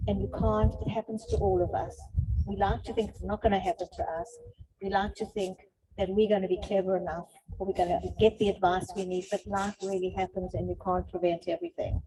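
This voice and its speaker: A woman's voice: medium pitch, mellow. A middle-aged woman giving an informal, reflective talk as a guest speaker, explaining things directly to her listeners. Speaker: guest speaker on relationships and life stages. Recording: noisy, dull lo-fi sound with clicks.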